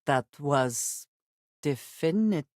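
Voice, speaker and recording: An actress voicing a guarded, earnest female spacefarer in a video game, grave and loyal, with formal, measured phrasing. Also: deep voice, animated, darkish tone, no echo, clean studio sound. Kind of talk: video game character voice lines.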